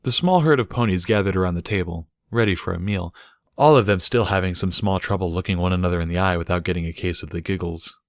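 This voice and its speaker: A man's voice: low voice, fast talker, no echo, studio quality. A man reading a scripted story aloud as an audiobook narrator.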